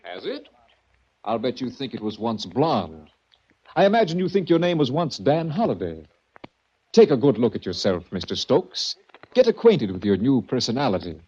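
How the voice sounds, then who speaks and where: An actor playing a smug, mock-courteous male villain running a sanitarium, with smooth menace, in a 1940s radio drama. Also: medium pitch, big pitch swings, normal speed, warm tone. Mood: taunting. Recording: muffled 1940s radio recording.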